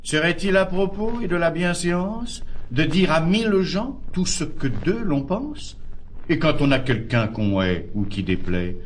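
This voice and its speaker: An actor playing a tolerant, philosophical friend who urges moderation, speaking classical French verse in a measured, articulate way. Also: male voice, a little high, lively intonation, a little hiss or hum. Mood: reasoning.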